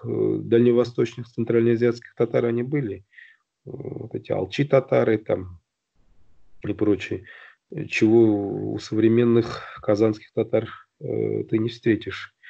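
A middle-aged man explaining at length on a video call, halting with many fillers and restarts. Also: mid-range voice, vocal fry, dark tone. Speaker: guest on a video-call round table. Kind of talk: casual discussion. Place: indoor room at home. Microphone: video call, phone or webcam close to the face. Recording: dull lo-fi video call audio.

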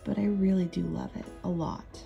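A woman in her thirties narrating a thrift shopping trip in a chatty, relaxed, easily amused way. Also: fairly low pitch, moderate pace, vocal fry, soft background music. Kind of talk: casual voice-over narration.

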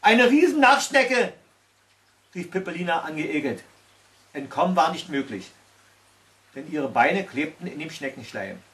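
An older man tells a fairy tale, reading it aloud. His voice sits a little high for a man, and he is recorded in a small room. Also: normal speed, fairly bright tone. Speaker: storyteller. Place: small indoor room by a tiled stove.

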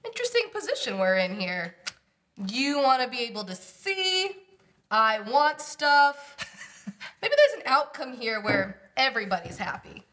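A young woman playing an excitable, scheming, teasing sister with theatrical emphasis in a comedy table read. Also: a little high, unhurried, exaggerated voice. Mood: scheming, playful. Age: young adult. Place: small indoor room at a table.

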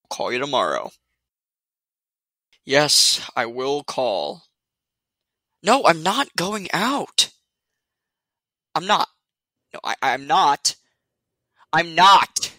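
A man acts out an irritated young guy in a radio play, arguing on the phone. His delivery is slow but very animated, with sardonic emphasis and a bright, forceful tone. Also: fairly high pitch, no room sound, studio quality, full character voice. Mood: exasperated, angry.

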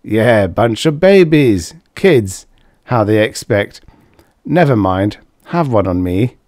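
A man voicing a brash, tipsy young male drill leader who hiccups and barks orders, in a lively, loud way. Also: on the low side, slow pace, no room sound, clean studio sound. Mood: tipsy, boastful. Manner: boastful, gruff barking orders.

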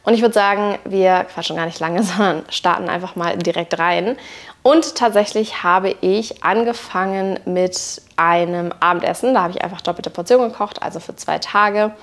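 A woman's voice: fairly low pitch, normal speed. A woman, chatting casually and colloquially as she narrates a home vlog on a clip-on mic. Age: 20s. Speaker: vlogger. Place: indoor room at home. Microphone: clip-on wireless lapel mic.

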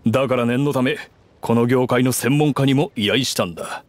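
An actor playing a gruff, confident middle-aged working man in an anime game, casual and plain-spoken. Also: medium pitch, normal speed, slight background noise, lightly acted. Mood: serious. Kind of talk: Japanese anime game voice acting. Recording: faint music behind the voice.

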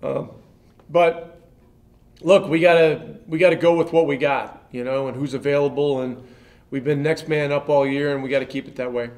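An older man in his sixties, a head coach answering reporters' questions after a game with a measured delivery and many fillers. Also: fairly high pitch, fairly even intonation, a little mellow. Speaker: head coach at a press conference. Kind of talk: post-game press conference answers. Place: press room in front of a sponsor backdrop.

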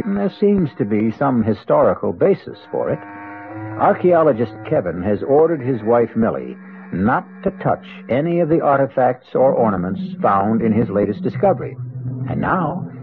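Animated male radio host, tinny sound. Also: mid-range voice, normal speed, a little hiss or hum. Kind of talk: dramatic scripted narration. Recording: tinny old radio recording.

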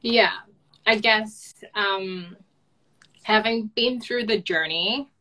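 A woman in her twenties from Hong Kong with a Hong Kong accent, telling her story softly as an interview guest on a webcam at home. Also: medium pitch, slow pace, rough recording. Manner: soft-spoken, light laughs, simple phrasing. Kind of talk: personal story in a video call. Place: home room, indoors. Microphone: video call webcam.

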